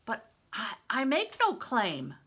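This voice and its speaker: A woman's voice: on the high side, wildly swooping pitch, slow pace, a bit bright, breathy edge, pitched above the performer's own voice, full character voice. A woman voicing a troubled, bitter thirteen-year-old orphan boy in a storybook, with plain, questioning delivery. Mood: protesting. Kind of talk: audiobook character reading.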